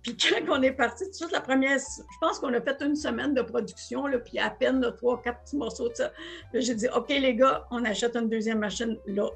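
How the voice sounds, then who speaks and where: Gravelly Quebec woman in her sixties. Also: fairly high pitch, fairly quick, faint music behind. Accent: Quebec French. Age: 60s. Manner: plainspoken, restarts phrases often. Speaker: business co-owner and guest. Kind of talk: casual podcast conversation.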